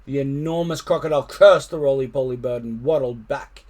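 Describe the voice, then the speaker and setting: A man reading a children's story aloud expressively, with animated, dramatic emphasis. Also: mid-range voice, a little fast. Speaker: storytime reader.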